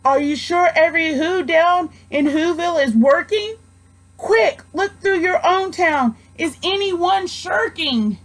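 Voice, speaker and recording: A brave, earnest storybook elephant, read by a woman, in a high voice. He pleads and encourages with real conviction. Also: slow, full character voice. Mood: worried, questioning. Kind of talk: storybook character voice.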